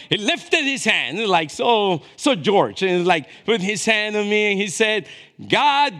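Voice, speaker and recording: Guy in his 50s preaching, pretty high voice, storytelling pastor style, animated, goes from hushed to loud for emphasis. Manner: animated, dramatic emphasis, swinging from hushed asides to raised voice; checks in with listeners through short questions. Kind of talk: conversational sermon with storytelling.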